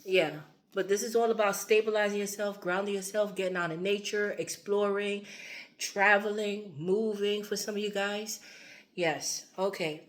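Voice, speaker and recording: A woman's voice: mid-range voice, fairly even intonation, normal speed. A woman giving a loose, rambling tarot reading, her voice a little strained as she starts to lose it. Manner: loose and repetitive, fillers and asides. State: losing her voice. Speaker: tarot reader.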